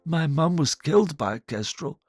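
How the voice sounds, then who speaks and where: A man voicing a timid, eager young male rabbit, high and stammering when frightened. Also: expressive, normal speed, faint music behind, lightly acted. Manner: squeaky, stammering when scared.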